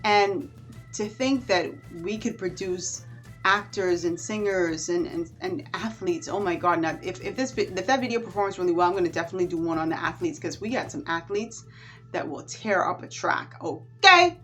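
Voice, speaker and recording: A woman from the Bahamas with a Bahamian accent, chatting to camera on her vlog in a lively, animated way. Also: on the low side, moderate pace, soft background music. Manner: chatty and animated, with warm asides. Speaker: vlogger. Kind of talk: casual chat to camera.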